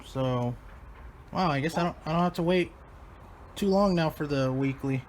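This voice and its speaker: A man's voice: on the high side, normal speed, a little hiss or hum. A man hosting a hobby video, chatting casually. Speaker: host of a hobby radio-test video. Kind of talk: casual chat.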